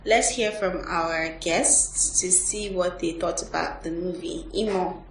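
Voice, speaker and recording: A woman hosts a podcast review discussion in a fairly low-pitched voice. She is recorded at a distance from the mic in an echoey room, with some background noise. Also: steady tone, unhurried, gravelly. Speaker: podcast host. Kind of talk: movie review podcast talk.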